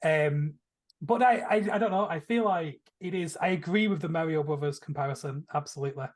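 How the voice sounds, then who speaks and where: Guy with a slightly high voice, rambly excited film chat on a podcast. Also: a little fast. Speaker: podcast panelist. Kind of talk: casual film discussion.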